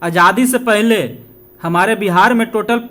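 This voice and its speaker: A man's voice: sits high, moderate pace, fairly bright tone. A man from India, teaching exam revision informally, repeating points and answering his own questions, with chatty check-ins. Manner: repetitive, asks questions then answers them, chatty check-ins with listeners.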